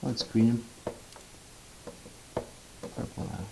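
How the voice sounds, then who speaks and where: A man's voice: takes it slowly, mellow. A man in casual chat who asks questions and works through a technical problem aloud. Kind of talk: casual chat about a tech setup. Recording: echoey room with faint noise.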